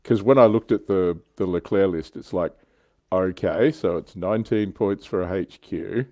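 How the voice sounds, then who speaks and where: A low-pitched man talks as a show host in an easygoing, conversational way. His voice is relaxed and mellow, with keen interest in the hobby, and the sound is close and dry. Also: normal speed, no room sound. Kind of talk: casual hobby chat.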